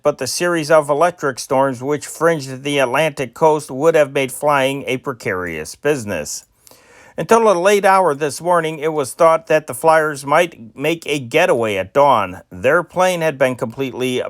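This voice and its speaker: Man reading vintage news stories, slightly high voice, dry close sound, occasional stumbles. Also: no room sound. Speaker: news reader. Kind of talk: reading old newspaper stories aloud.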